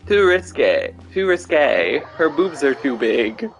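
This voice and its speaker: A man's voice: on the high side, very animated, slow. A man giving casual, joking reaction commentary as he plays a video game. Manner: informal, joking. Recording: faint game music behind.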